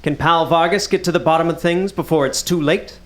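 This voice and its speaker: A man's voice: fairly high pitch, fairly quick, fairly bright tone, close to an everyday voice. A man playing a dramatic 1940s radio serial announcer, theatrical and suspenseful. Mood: suspenseful, grand.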